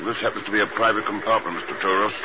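An actor playing a calm, resolute secret agent in a 1950s radio drama. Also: male voice, on the low side, racing, lightly acted. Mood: calm resolve. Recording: noisy, muffled old radio recording.

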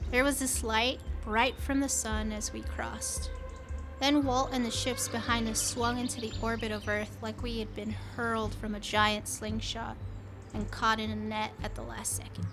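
A woman's voice: on the high side, flat delivery, normal speed, over music, natural voice. A woman playing a starship captain and mother in an audio drama, telling stories in a plainspoken way. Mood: wistful storytelling. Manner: plainspoken, storytelling to a child.

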